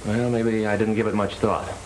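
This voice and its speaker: An actor playing a loyal but doubting American friend, plain-spoken, in 1930s film style. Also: male voice, lowish voice, level tone, normal speed, a little mellow, close to an everyday voice. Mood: sympathetic, reluctant. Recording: echoey, hissy 1930s film soundtrack.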